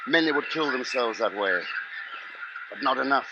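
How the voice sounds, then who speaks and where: An actor playing a grave, resolute middle-aged husband and father, narrating in measured, dramatic 1950s radio style. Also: mid-range voice, animated, lo-fi sound, heavy background noise, natural voice. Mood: grim, brooding. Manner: measured, brooding, dramatic delivery. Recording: vintage 1950s radio broadcast, loud music.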